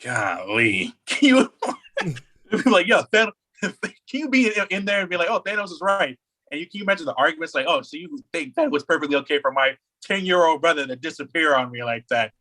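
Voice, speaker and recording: A man chatting casually as a podcast co-host, rambling in an animated way and acting out imagined remarks. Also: on the high side, brisk.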